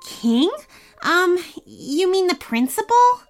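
Woman playing a high-pitched, eager young schoolboy. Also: big pitch swings, unhurried, fairly bright tone, soft background music, exaggerated voice. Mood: puzzled. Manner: earnest, hesitant stammers. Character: polite, eager young schoolboy, easily flustered. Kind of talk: children's storybook character voice.

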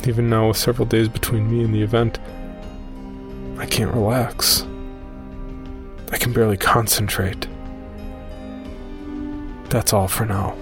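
A man playing a shaken, weary caver who tells his horror story from a journal in a measured, solemn way. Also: on the low side, flat delivery, over music. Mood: drained, uneasy. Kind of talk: first-person horror narration.

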